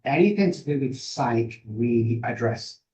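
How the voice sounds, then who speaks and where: A man with a Manchester accent, a guest on an interview, talking in a brisk, emphatic way from a home office over a video call. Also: medium pitch, animated, a little mellow. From England. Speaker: guest, addiction specialist. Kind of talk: conversational interview. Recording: distant from the mic.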